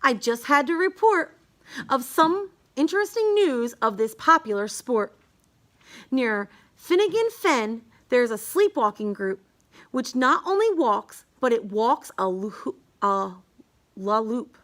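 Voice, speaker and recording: Casting: high-voiced woman, unhurried and very animated, for reading rhyming picture books aloud. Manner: sing-song, emphatic storytelling. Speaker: children's book reader. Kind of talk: read-aloud of a rhyming children's book.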